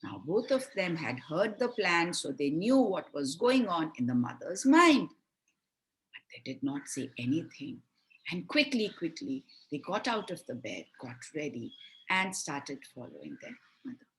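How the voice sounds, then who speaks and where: Slow, expressive woman storyteller. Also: low-pitched, low-quality recording. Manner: expressive, explanatory, deliberate emphasis. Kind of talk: children's fairy-tale storytelling.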